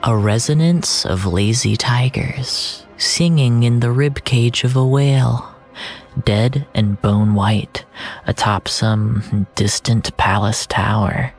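A man narrating first-person fiction in a deliberate, brooding, dramatic way, drawing out his phrases. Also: mid-range voice. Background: music.

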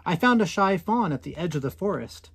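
A man's voice: high voice, animated, brisk, breathy edge, pitched above the performer's own voice, heavily acted. A man reading as an eager, pleading little boy. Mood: hopeful, pleading. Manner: hopeful rising questions.

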